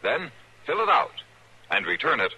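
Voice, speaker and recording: A man's voice: mid-range voice, very animated, normal speed, pushed and bright, slight background noise, poor audio. A man with an American accent reading a formal, emphatic public-service announcement in 1950s radio style.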